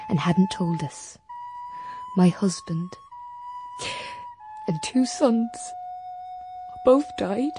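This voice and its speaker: A woman playing a bereaved wife and mother, telling her story with measured, bewildered sorrow in a radio drama. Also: on the low side, close to an everyday voice, poor audio. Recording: prominent music behind the voice.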